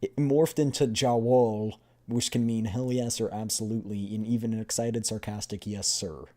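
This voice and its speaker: A man's voice: fairly low pitch, fairly even intonation, moderate pace, dark tone. A man streaming a game, reading story text aloud and chatting casually in between. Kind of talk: live game readthrough with casual asides.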